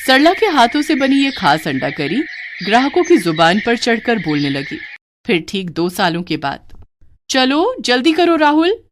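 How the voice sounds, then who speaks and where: A woman's voice: medium pitch, very animated, normal speed. A woman reading scripted narration for a moral story as its storyteller.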